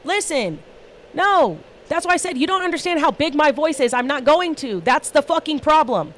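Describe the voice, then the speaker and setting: A woman's voice: sits high, big pitch swings, moderate pace. A woman hosting a livestream panel, talking bluntly and emphatically and swearing constantly in casual rants. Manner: blunt, heavily profane, emphatic.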